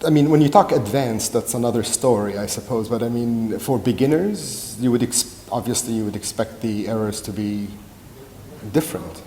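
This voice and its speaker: A man runs a workshop discussion, pausing often and restarting his sentences. His voice carries the long reverb of a large hall. A faint rumble sits underneath. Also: mellow. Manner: hesitant, many fillers and restarts. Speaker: workshop organizer leading discussion. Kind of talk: conference Q&A discussion. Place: conference room.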